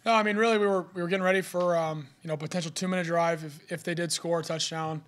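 A young man, a college quarterback, answering reporters' questions at a postgame press conference, filling his answers with "you know.". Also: on the high side. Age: young adult. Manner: steady, fast, filler-heavy with "you know". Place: press room in front of a sponsor backdrop. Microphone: podium mic.

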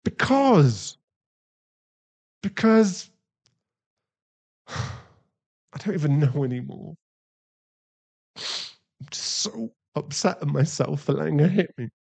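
Slow, gravelly, remorseful boyfriend acted by a man. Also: a little high, animated, darkish tone, exaggerated voice. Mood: distraught, guilty. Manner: halting, emotional, pleading, with sighs and breathy drops into whispers. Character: remorseful, tender male werewolf boyfriend, shaken and ashamed. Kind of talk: intimate ASMR boyfriend roleplay acting.

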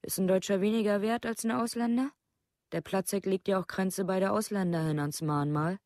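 A woman's voice: mid-range voice, fairly even intonation, fairly quick, darkish tone, no room sound, lightly acted. Embittered village mother played by an actress, dry close sound. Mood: bitter, aggrieved. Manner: colloquial, blunt, repetitive. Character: embittered, aggrieved village mother, plain-spoken outsider. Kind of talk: documentary radio play monologue.

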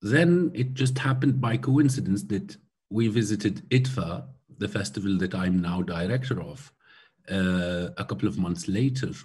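Slow, husky-voiced man on a video call. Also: lowish voice, a little mellow. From Syria. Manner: slow, thoughtful, many hesitations. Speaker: keynote speaker. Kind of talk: lecture over video call. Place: room at home by a glass door. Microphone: laptop webcam on video call.

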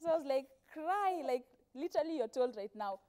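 A woman's voice: high-pitched, animated, fairly quick, darkish tone. A woman in her twenties with a Kenyan accent, chatting casually as a guest in a TV interview and telling stories with quoted speech. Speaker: guest, actress. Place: TV studio, seated on a sofa. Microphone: clip-on lapel mic.

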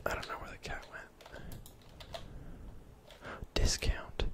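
A young man whispering casual, gently chatty ASMR game commentary in a soft, breathy voice. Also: slow pace. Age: young adult. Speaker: gaming ASMR video host. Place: indoor room at home.

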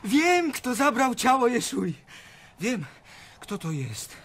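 An actor playing a fervent, devout young disciple in a radio drama. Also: male voice, extremely high pitch, big pitch swings, slow, fairly bright tone, exaggerated voice. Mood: fervent, certain. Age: young adult. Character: fervent young male disciple proclaiming a miracle.

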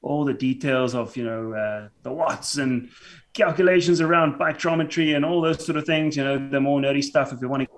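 A man in his thirties from South Africa, a native Afrikaans speaker with a South African accent, talking as a podcast guest from a home room over a remote call with headphones and a mic, stumbling and restarting his sentences often. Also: mid-range voice. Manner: halting, stammering restarts. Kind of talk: casual podcast interview.

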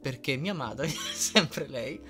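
A man's voice: medium pitch, animated, takes it slowly, bright, forceful tone, faint music behind. A young man answering questions in a casual, easygoing and slightly hesitant way. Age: young adult. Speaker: dubbing content creator answering fan questions.

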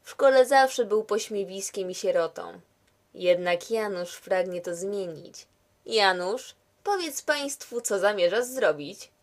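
A woman's voice: mid-range voice, animated, takes it slowly. A woman playing a wry, skeptical narrator in a scripted comedy, questioning the hero in a dry, teasing way.

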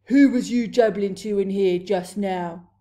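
A woman voicing a huge, gruesome male giant in a storybook, a booming brute who bellows in broken grammar and nonsense insults. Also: unhurried, mellow, natural voice. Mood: booming, suspicious. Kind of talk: storybook read-aloud character voice.

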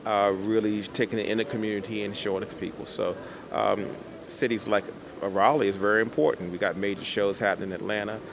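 A man's voice: fairly low pitch, steady tone, a little fast, gravelly. A middle-aged man, an artist, answering interview questions conversationally into a handheld microphone. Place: indoor art expo in a convention center. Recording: busy exhibition hall crowd behind. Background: crowd noise.

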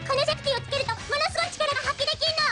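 A cute, cheeky celestial cartoon girl, chatters in an extremely high, squeaky voice. The delivery is fast, perky and outspoken. Loud music runs underneath. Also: fairly even intonation. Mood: indignant. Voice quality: squeaky, girlish. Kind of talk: anime dubbing, exaggerated.

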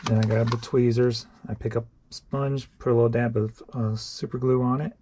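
A man's voice: mid-range voice, level tone, moderate pace, noisy background. A man, chatting casually and thinking aloud as he sorts through items for resale. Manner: rambling and offhand, thinks aloud while sorting tapes. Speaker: online reseller. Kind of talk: casual show-and-tell vlog.

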